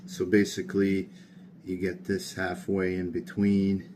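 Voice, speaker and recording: A tired man casually talking through a hands-on workshop build, monotone and rambling with plenty of fillers. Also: deep voice, a little slow, background noise. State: tired late at night. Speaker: hobbyist gunsmith. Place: home workshop.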